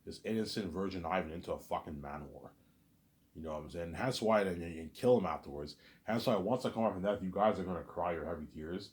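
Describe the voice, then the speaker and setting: A man talking straight to his audience in a rambling monologue, repeating himself, stumbling over restarts, and letting his asides fade quietly. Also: low-pitched, a little fast. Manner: repetitive, stammers on restarts, trails off quietly on asides. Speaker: solo vlogger.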